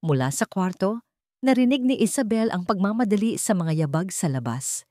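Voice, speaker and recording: A woman's voice: mid-range voice, lively intonation, normal speed, warm tone, no room sound, clean studio sound. A woman narrating a scripted romance story in a dramatic style, as a storyteller.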